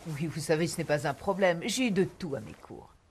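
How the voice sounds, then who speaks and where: A pompous film teacher, husky, deep, sing-song, dismissive. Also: female voice, expressive, brisk, a little mellow. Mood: lofty. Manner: condescending, lofty, dismissive. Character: haughty, self-important female film teacher. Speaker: private film and art teacher. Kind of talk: film drama acting.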